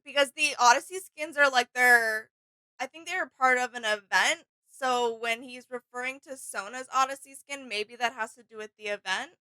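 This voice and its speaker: A woman's voice: a little high, moderate pace, bright, forceful tone, clean tone, no room sound, low-quality recording. A woman co-hosting a video game reaction show, chatting casually in an agreeable, explanatory way.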